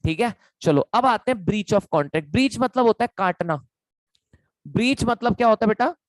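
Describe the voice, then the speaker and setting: Hoarse young Indian man, chatty tutor. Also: high-pitched, lively intonation, moderate pace, no room sound. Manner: chatty, repetitive, calls students beta. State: hoarse, sore throat. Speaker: online law tutor. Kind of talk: live online lecture.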